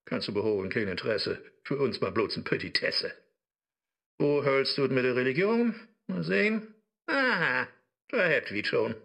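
An actor playing a sly, mocking digital Mephisto in a rhyming radio play, theatrical and taunting, with a Low German accent. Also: male voice, lively intonation, normal speed, gravelly, a bit bright. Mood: scornful. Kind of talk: satirical radio play in verse.

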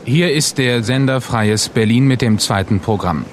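A man's voice: medium pitch, moderate pace. Formal male radio announcer, noisy archive.

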